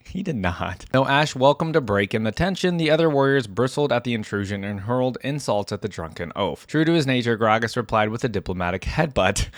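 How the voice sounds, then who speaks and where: Male reaction host, mid-range pitch, informal and relaxed delivery for commentary and read-alouds, dry close-mic sound. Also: no echo.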